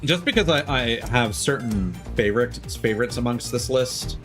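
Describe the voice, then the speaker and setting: A man giving casual gameplay commentary, thinking aloud in a halting way. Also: medium pitch, expressive. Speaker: game streamer. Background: video game music.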